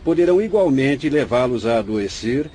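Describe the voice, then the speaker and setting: A man's voice: medium pitch, expressive, dark tone, a little hiss or hum, lightly acted. A man with a Brazilian accent playing a venerable, patient old spirit doctor who explains solemnly, in a religious radio drama. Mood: solemn, instructive. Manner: didactic, measured, solemn explaining.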